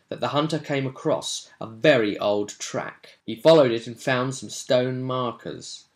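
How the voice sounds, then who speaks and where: A man narrating the story setup for a tabletop roleplaying game as game master, in a measured, dramatic way. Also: lowish voice.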